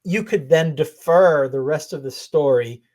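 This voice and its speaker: A woman with a low voice talks as a podcast guest. She grew up in Israel and speaks slowly and thoughtfully, laughing easily as she reflects. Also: darkish tone. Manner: earnest, laughs often, slow and thoughtful. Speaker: coach, interview guest. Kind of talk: reflective interview talk.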